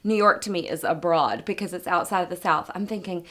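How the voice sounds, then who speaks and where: A woman's voice: fairly low pitch, fairly quick. Chatty woman designer talking shop. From American South. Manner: chatty, warm laughs, lots of fillers. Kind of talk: casual interview chat.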